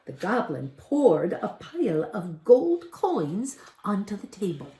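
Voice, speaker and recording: A woman in her sixties giving an expressive storybook narration. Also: mid-range voice, slow. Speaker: story reader. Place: room at home, indoors. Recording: roomy echo.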